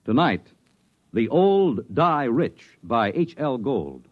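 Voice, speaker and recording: A man's voice: medium pitch, big pitch swings, slow. An American man announcing a 1950s radio drama in a theatrical, declamatory style. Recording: dull, lo-fi 1950s radio broadcast. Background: music.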